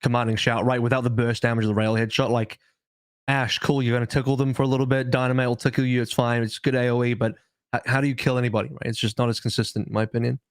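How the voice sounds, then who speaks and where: A man's voice: mid-range voice, level tone, fast talker, no echo, clean studio sound. A man giving casual, opinionated analysis as an esports podcast co-host.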